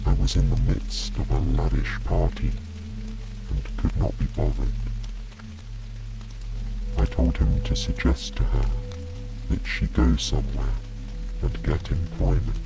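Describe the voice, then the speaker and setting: A very deep-voiced, gravelly lord of a lonely chateau, read by a male storyteller confesses slowly. He is woeful, halting and full of regret, a soft storybook figure over rain and music. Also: little pitch movement, full character voice, low-quality recording. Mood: regretful, reflective.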